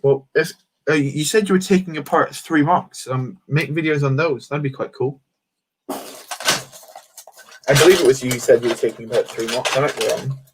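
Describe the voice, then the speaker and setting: A man's voice: mid-range voice, moderate pace, long pauses, a little hiss or hum, poor audio. A man hosting a casual livestream, rambling and answering chat comments while he builds. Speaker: livestream host.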